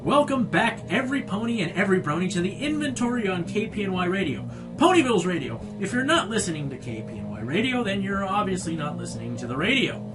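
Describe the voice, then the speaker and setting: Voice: medium pitch, big pitch swings, fast talker, over music, natural voice, rough recording. An actor playing an exasperated pony radio show host in a comedic radio play, theatrical, incredulous and sarcastic. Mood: showy, impatient.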